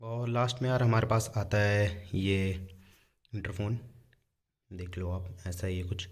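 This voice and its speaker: A man's voice: on the low side, little pitch movement, a little slow. A man giving a casual hands-on product demonstration in an informal, step-by-step explanatory manner. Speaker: vlogger reviewing a product.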